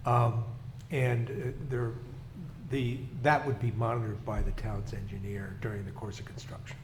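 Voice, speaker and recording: A man with a fading, hoarse voice explains approval procedure to a town board in a measured way. His voice sits low. The meeting room rings with echo and steady background noise. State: losing his voice.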